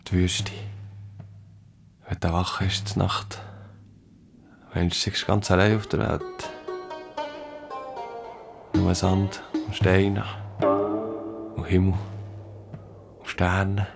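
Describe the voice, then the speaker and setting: An actor playing a solitary, brooding soldier in a radio drama, musing in hushed, contemplative fragments. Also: male voice, low voice, level tone, drawn-out words, gravelly, long pauses, full character voice. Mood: brooding, awed. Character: brooding, solitary soldier remembering desert nights. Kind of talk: radio drama inner monologue. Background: plucked guitar music.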